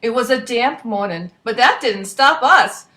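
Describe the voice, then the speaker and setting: A woman's voice: a little high, a little slow. Lady putting on a cocky slangy pigeon telling his story, all pep. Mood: cheerful. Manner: slangy, energetic, exclaiming. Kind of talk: storybook narration in character.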